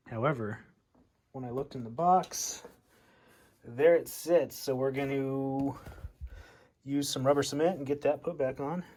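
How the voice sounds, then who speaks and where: An American man giving a casual, hands-on demonstration as a hobbyist, recorded at home with a furnace running. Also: medium pitch. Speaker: hobbyist presenter of a radio overview. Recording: loud furnace noise behind.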